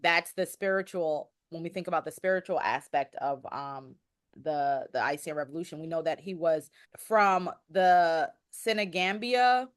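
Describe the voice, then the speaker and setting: A woman explaining history conversationally as a podcast guest, halting and circling back to rephrase her points. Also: deep voice, smooth.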